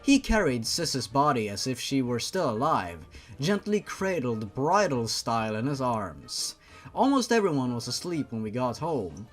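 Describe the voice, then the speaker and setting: A man hosting a comic fan-fiction reading, narrating theatrically with mocking asides. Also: mid-range voice.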